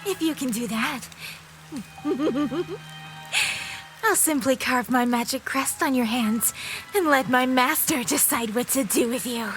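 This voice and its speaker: A woman's voice: high-pitched, a little slow, faint music behind, rough recording. A woman voicing a sadistic, theatrical villainess who mocks and gloats over her prey in a fantasy audio drama. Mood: smug, menacing. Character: sadistic, gleeful villainess, an otherworldly being who toys with prey.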